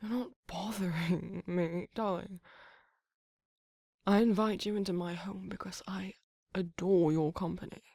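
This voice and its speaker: A woman voicing a flirty, gently protective vampire lover in an intimate ASMR romance roleplay, with a husky, breathy, often whispered voice and tender, coaxing manner. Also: lowish voice, fairly even intonation, dry recording, clean studio sound. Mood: concerned, coaxing. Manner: tender, unhurried, coaxing endearments.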